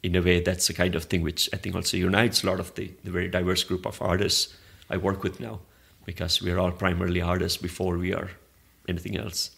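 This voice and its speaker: A man's voice: deep voice, little pitch movement, quick pace, creaky, few pauses, fairly bright tone. A man, a Malayalam speaker, answering interview questions in a thoughtful, meandering way with frequent restarts. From southern India. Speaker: interview guest, arts organizer. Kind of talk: reflective conversational interview.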